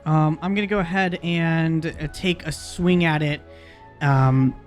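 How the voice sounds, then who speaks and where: A man's voice: on the high side, fairly even intonation, unhurried. A man chatting casually as a player in a tabletop role-playing game. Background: music.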